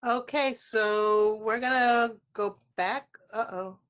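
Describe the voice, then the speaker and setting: A woman chats informally as the co-presenter of a webinar over a video call. Her voice is slightly high and relaxed in delivery. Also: takes it slowly, clear, resonant tone. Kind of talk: casual webinar talk.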